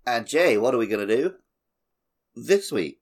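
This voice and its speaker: A man's voice: mid-range voice, very animated, a little slow. A man hosting a podcast, chatting casually about a video game. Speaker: podcast host.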